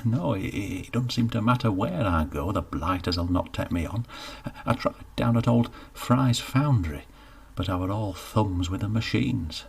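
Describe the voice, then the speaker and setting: A man's voice: fairly low pitch, lively intonation, unhurried, gravelly, breathy edge, full character voice. A man voicing a scruffy, sheepish jobless man of about fifty, who mumbles and stammers. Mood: glum. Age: 50s.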